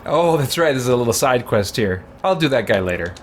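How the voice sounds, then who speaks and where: A man's voice: mid-range voice, sing-song, fairly quick, slight background noise. A man giving casual gameplay commentary as he plays, musing aloud. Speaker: gamer, let's play host.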